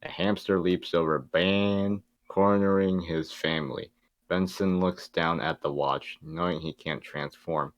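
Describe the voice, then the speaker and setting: A low-pitched man reads stage directions aloud as narrator of a table read. The sound is close and dry, with little room in it. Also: steady tone, measured pace, dry recording.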